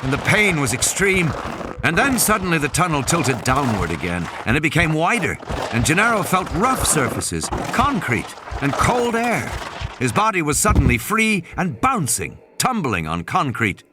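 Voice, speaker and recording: A man's voice: a little high, huge pitch swings, normal speed, noisy background. A man reading a novel as dramatic scripted narration, with background sound behind him. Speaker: audiobook narrator. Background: ambient sound effects.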